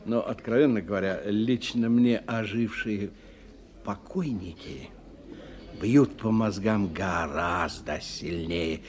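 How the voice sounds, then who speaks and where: A man acting in a radio drama as a brash, worldly sceptic, ironic and quick to interject. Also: fairly low pitch, a little mellow. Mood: wry.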